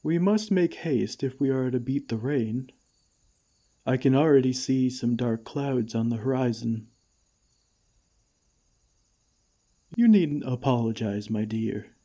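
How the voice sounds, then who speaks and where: A man playing a gentle, caring friendly giant in an ASMR comfort roleplay, with tender, old-fashioned, unhurried phrasing. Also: mid-range voice. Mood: gentle concern.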